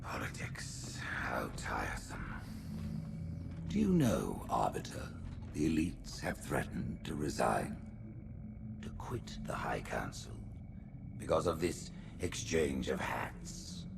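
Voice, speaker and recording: An actor playing a scheming, imperious alien high priest in a game, pompous and theatrical, with a creaky voice. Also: male voice, huge pitch swings, takes it slowly, in a whisper, heavily acted. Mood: weary disdain. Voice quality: deep, creaky. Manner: pompous, theatrical, swooping emphasis. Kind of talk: cinematic science-fiction game acting. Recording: music behind the voice.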